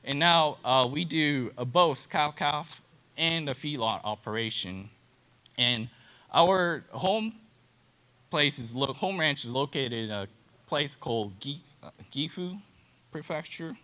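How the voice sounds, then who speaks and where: A man from Japan with a Japanese accent gives an informal educational presentation in halting, simple non-native English. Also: on the high side, animated, bright, forceful tone. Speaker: cattle genetics specialist, presenter.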